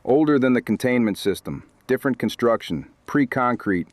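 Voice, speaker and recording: A man playing a serious, knowledgeable companion who talks in a clipped, precise deadpan in an audio drama. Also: medium pitch, normal speed, no echo, close to an everyday voice. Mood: measured, serious. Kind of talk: scripted fiction podcast acting.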